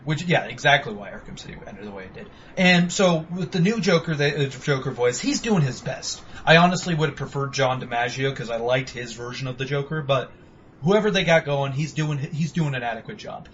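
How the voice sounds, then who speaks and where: A man's voice: medium pitch, expressive, brisk, fairly bright tone, slight background noise. A young man co-hosting a podcast, rambling in casual, profane chat about video games. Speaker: podcast co-host. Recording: dull lo-fi home recording.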